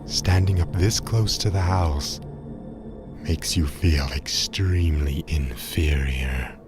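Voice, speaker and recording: A man narrating a scripted Halloween horror radio drama in a dramatic, ominous manner with measured phrasing. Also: a bass voice. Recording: loud scary music behind. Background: loud scary music.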